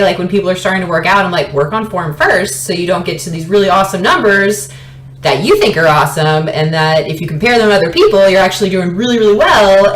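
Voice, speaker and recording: A woman in her late twenties chatting casually and telling personal stories as a fitness vlogger, in an animated way, often interrupting herself. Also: fairly low pitch. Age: late 20s. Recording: roomy echo, light background noise.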